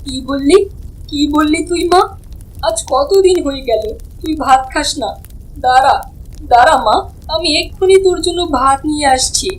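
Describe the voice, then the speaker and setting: A woman's voice: sits high, moderate pace, pauses often, a little hiss or hum, close to an everyday voice, rough recording. A woman voicing a tender, anxious village mother in a cartoon. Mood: tearful joy. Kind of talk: Bengali cartoon voice acting.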